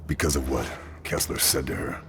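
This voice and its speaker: Stoic soldier, very low pitch, terse, deliberate, grim video game hero, music behind. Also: male voice, gravelly. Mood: terse, questioning. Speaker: soldier protagonist and narrator. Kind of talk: cinematic video game acting and narration.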